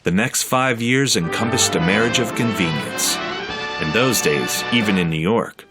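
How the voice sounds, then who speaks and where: A man reading scripted documentary narration in a measured, factual way. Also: lowish voice, lively intonation. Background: music.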